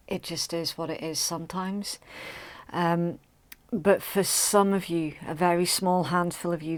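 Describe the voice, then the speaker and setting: Woman, slightly raspy, low-pitched, chatty tarot reader, dry recording. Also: no echo. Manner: chatty, hesitant with many ums and restarts. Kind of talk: casual tarot reading to camera.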